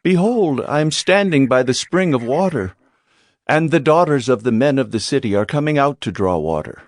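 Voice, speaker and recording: A man's voice: mid-range voice, animated, normal speed, dry recording, natural voice. Man acting devout servant, dry close sound. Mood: earnest prayer. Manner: earnest, reverent. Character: devout, humble male servant of a patriarch. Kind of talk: dramatized scripture acting.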